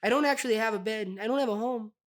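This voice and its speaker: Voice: medium pitch, very fast, close to an everyday voice. A performer playing a very small, naive fisherman in his nineties, earnest and rambling in a comic mock interview. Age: 90s. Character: very small, simple-minded 92-year-old fisherman, stubborn, folksy.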